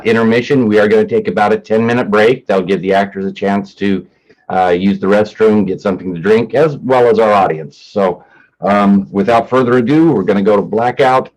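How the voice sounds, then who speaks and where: A man with a fairly low voice serves as the announcer and narrator of a staged reading. His delivery is plain and matter-of-fact, suited to stage directions. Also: darkish tone, rough recording.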